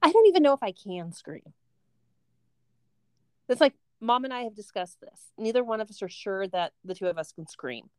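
A middle-aged woman co-hosting a casual chat podcast, an animated storyteller who acts out voices. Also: mid-range voice, moderate pace, clear, resonant tone, no echo, studio quality. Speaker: podcast co-host and substitute teacher.

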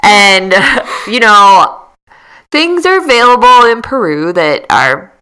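Woman host, bright tone, relaxed storyteller. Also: medium pitch, slow pace, clear, resonant tone, low-quality recording. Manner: relaxed, shares personal stories. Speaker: podcast host. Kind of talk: casual chat.

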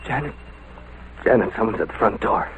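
An actor playing a hesitant, worried young boyfriend on the run in an old radio drama, stammering boyishly. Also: male voice, fairly low pitch, animated, a little fast, gravelly, a bit bright. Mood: anxious. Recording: tinny, noisy vintage radio recording.

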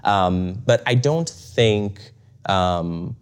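A man's voice: lowish voice, expressive, drawn-out words, smooth. A young adult man who grew up in Bulgaria, talking as an interview guest in a reflective way, with many fillers and restarts and frequent chuckles. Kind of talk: casual conversational interview.

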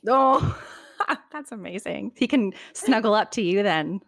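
A woman's voice: mid-range voice, a little slow, vocal fry, no room sound, clean studio sound. A woman hosting a podcast, reading an upbeat introduction and then chatting casually with her guest over a video call. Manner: warm gushing intro read, then chatty and relaxed. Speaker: podcast host.

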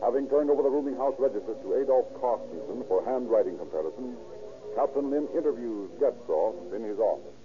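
A man as a sober narrator of a vintage radio police drama. His medium-pitched voice reads the scripted case over music, through a thin, tinny old recording. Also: lively intonation, fairly quick, close to an everyday voice. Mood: sober, dramatic.

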